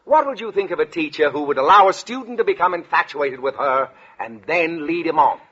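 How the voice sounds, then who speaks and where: A blustering male school principal in a vintage radio comedy. His voice is bright and forceful, with very animated, accusatory inflection. The old recording is dull and boxy. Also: fairly high pitch, brisk, breathy edge, exaggerated voice. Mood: stern, probing. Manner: pompous, accusatory, exclamatory. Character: pompous, suspicious, blustering new school principal. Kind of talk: 1940s radio comedy acting.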